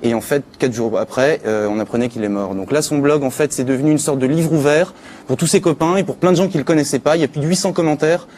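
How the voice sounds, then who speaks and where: A man's voice: on the high side, fairly even intonation, fast, darkish tone, slight background noise. A man giving an informal spoken chronicle on a live talk show, as a news contributor. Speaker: contributor presenting internet news.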